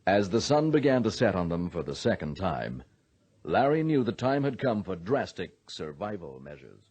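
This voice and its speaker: A man narrating a radio drama from a script, in a grave, measured storytelling manner. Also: low voice, sing-song, a little mellow, slightly rough.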